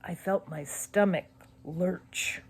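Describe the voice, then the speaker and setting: A woman reading a spooky children's story as scripted narration, expressive and suspenseful. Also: on the low side, slow pace, husky. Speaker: audiobook narrator.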